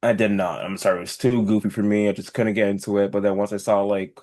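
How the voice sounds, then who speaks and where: A man with a fairly low voice co-hosts a casual talk podcast. He speaks informally and with emphasis, in the loose, slightly salty way of a friend giving his opinion. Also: level tone, fast talker, a little mellow. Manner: emphatic, informal, mild swearing. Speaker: podcast co-host. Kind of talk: casual chat.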